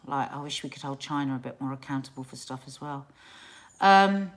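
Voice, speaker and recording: A woman's voice: extremely low pitch, fairly quick, a little hiss or hum. A middle-aged woman hosting a casual livestream, chatting with viewers, often trailing off and restarting her sentences. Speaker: livestream host.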